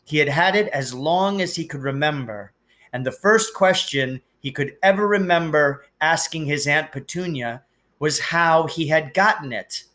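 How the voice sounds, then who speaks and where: A man narrating a children's novel aloud in an expressive, deliberate way with emphatic phrasing. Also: a little high. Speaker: teacher reading aloud.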